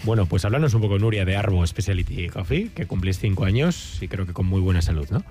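Jokey Spanish radio host, lowish voice. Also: male voice, lively intonation, mellow, faint music behind. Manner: jokey, quick banter, laughs often. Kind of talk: casual radio chat.

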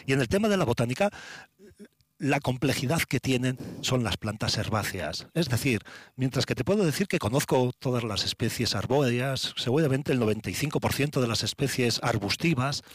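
A man, a naturalist guest, explaining things in a measured, informative way. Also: medium pitch, animated, no echo. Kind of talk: guest in conversation.

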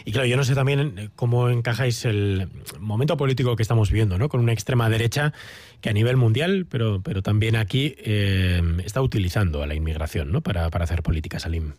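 A man hosting a radio program, interviewing guests in a brisk, engaged way. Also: on the low side, darkish tone, dry recording. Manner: brisk, engaged, frequent backchannel. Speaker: radio host.

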